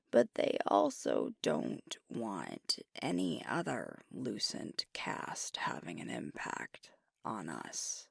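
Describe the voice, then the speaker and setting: A woman playing a frustrated, doubting alpha werewolf pack leader in an intimate ASMR roleplay, speaking breathily and deliberately into a close microphone. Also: a bass voice, dry recording, exaggerated voice. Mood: weary explaining. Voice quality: breathy, whispery.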